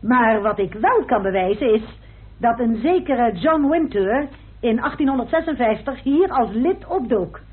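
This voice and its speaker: Woman investigator, radio drama, high voice, precise, assured, tinny narrow-band old recording. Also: animated, a little slow, husky. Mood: measured, assured.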